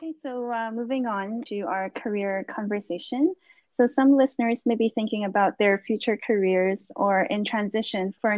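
A woman interviewer shifts to a new topic and asks her question in a measured way. Her pitch is mid-range. The recording is dry and slightly muffled. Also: dry recording.

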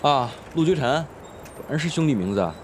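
Man acting a brash, lively teenage schoolboy. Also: mid-range voice, a little fast, a little hiss or hum, close to an everyday voice. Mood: curious. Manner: slangy, teasing, exclamatory. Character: brash, foul-mouthed teenage schoolboy, playful and teasing. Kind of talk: Chinese audio drama acting.

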